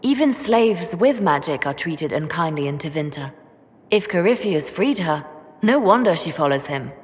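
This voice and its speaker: A woman voicing a poised, businesslike advisor in a fantasy video game, with a measured, formal delivery. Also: fairly low pitch, animated, slightly rough. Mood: serious briefing. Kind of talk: video game cutscene briefing.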